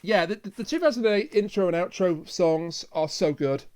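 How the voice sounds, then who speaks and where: A man's voice: high-pitched, lively intonation, moderate pace, a little mellow. A man co-hosting a podcast, chatting casually through a review. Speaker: podcast co-host.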